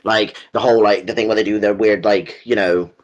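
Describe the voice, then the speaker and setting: A man co-hosting a fan countdown in casual geeky chat, jokey and giggly, with halting phrasing. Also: on the low side, fairly even intonation, highs cut off. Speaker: co-host of a fan countdown video.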